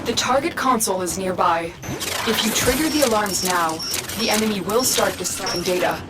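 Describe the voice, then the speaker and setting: An actress playing a composed female mission guide in a video game, speaking in a measured, grave, instructive way. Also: mid-range voice, loud music behind the voice, natural voice. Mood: grave briefing. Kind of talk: scripted video game voice acting.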